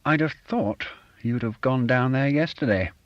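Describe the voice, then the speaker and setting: A man's voice: mid-range voice, moderate pace, dry recording, dull audio, voice pushed up, natural voice. A man reading an audiobook and voicing a curt, pushy man who puts on a fake genteel accent and talks down to his friend. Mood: bluff, patronising. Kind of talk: audiobook character voice.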